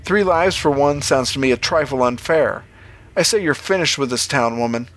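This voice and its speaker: A man's voice: medium pitch, moderate pace, very noisy, pitched above the performer's own voice, natural voice. A man voicing a desperate, protective farmer and father in a storybook reading, earnest and pleading. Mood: defiant.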